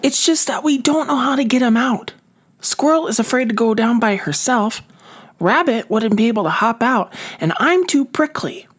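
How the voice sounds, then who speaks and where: Woman as a sensible, lively storybook hedgehog. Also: fairly high pitch, moderate pace, voice pushed up. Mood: concerned. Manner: emphatic, reassuring. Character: sensible, bossy, caring hedgehog friend in a storybook.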